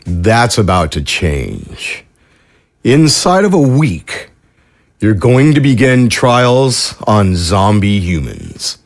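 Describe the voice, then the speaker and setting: A smug, menacing government agent. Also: male voice, on the low side, huge pitch swings, slow pace, exaggerated voice. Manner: smug, threatening.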